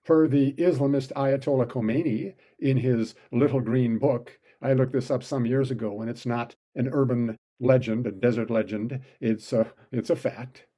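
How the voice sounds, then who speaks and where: Sardonic man with a mellow voice. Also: mid-range voice, fairly even intonation, moderate pace, few pauses. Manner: sardonic, sarcastic asides. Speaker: commentator. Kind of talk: opinion commentary monologue.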